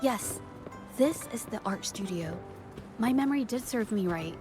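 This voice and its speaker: Game role: gentle young female painter, high voice, thoughtful and courteous, music behind. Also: animated, measured pace. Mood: earnest, keen. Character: gentle, earnest young woman painter turned amateur investigator. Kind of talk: English video game voice acting.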